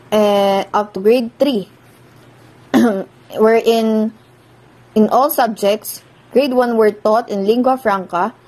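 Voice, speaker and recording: A young woman from the Philippines with a Filipino accent, presenting a class report over slides, halting and correcting herself often. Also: mid-range voice, mellow, rough recording. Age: young adult. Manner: halting, with many restarts and self-corrections. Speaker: student presenter.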